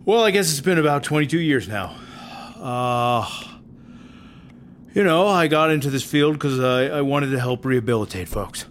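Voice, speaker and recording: A man playing a middle-aged, folksy prison warden who talks haltingly, clearing his throat in an audio drama interview. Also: mid-range voice, expressive, slight background noise, close to an everyday voice. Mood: hesitant, folksy. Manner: hesitant, halting, full of ums and throat-clearing. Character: aging, folksy, awkward male prison warden.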